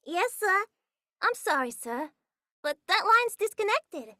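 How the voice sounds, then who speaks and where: Piercingly high, officious telephone operator. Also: female voice, big pitch swings, slow, pushed and bright, no echo, clean studio sound, heavily acted. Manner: formulaic, polite, sing-song. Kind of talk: 1940s radio acting.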